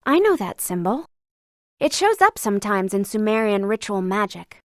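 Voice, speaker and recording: An actress voicing a brisk, learned museum curator in a video game, speaking in an articulate, scholarly and sardonic way. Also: female voice, medium pitch, big pitch swings, no room sound, clean studio sound, close to an everyday voice. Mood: matter-of-fact. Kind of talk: scripted video game dialogue.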